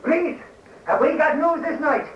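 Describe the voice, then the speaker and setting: An actor playing a blustering, excitable middle-aged newspaperman in a 1940s radio drama. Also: extremely high pitch, unhurried, a little hiss or hum. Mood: excited. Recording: dull, lo-fi 1940s radio recording.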